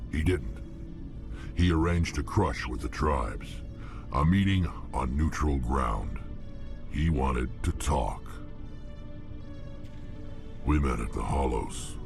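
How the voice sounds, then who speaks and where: An actor playing a blunt, hulking male alien mercenary who speaks slowly and gruffly. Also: a bass voice, full character voice. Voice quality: gravelly. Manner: slow, blunt, growling. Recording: game audio with music under it.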